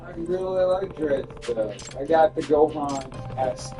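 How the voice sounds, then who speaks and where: A man's voice: fairly high pitch, unhurried, distant mic, music in the background. A young man joking and swearing in casual banter with a friend who is gaming. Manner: loud, joking, profane banter. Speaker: friend watching the game. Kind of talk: casual chat.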